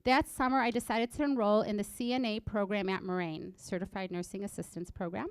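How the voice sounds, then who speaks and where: Young woman reading her story, crisp and clear. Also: medium pitch, fairly even intonation, brisk, no room sound. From Egypt, raised in Illinois. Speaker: student speaker. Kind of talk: reading a prepared personal story. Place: college library event.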